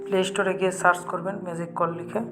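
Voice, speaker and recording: A man with a Bangladeshi accent narrates a step-by-step app tutorial at a quick clip. His delivery stays flat and instructional, with music clearly playing behind him. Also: sits high. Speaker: tech tutorial host. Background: background music.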